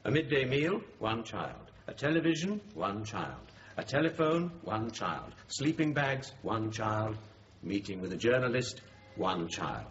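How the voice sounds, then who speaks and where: A calm, measured police negotiator. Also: male voice, fairly low pitch. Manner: slow, measured, reassuring. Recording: dull radio drama recording with light hiss.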